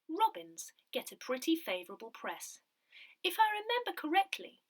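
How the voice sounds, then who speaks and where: A woman reading written newsletter articles aloud in a measured, expressive way, as scripted narration. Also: on the high side. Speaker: newsletter reader.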